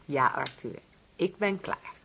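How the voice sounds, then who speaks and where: A woman's voice: on the low side, lively intonation, takes it slowly, gravelly, fairly bright tone, a little hiss or hum, full character voice. An actress in a radio play as a middle-aged bereaved mother, bitter yet obedient to her husband. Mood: eerily docile. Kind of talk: radio drama acting.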